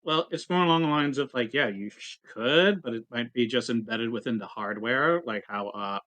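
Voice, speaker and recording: A man's voice: medium pitch, moderate pace, fairly bright tone. A man chatting casually during a tabletop roleplaying game.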